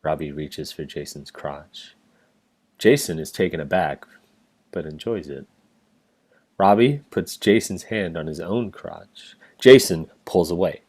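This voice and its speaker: Low-voiced man reading stage directions. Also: unhurried, darkish tone, slightly rough. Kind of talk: scripted narration, staged reading.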